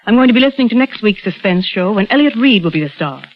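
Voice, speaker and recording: A woman, the evening's guest star, giving a quick, breezy sign-off on an old radio broadcast. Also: medium pitch, lively intonation, muffled recording, a little hiss or hum. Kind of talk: brief on-air sign-off.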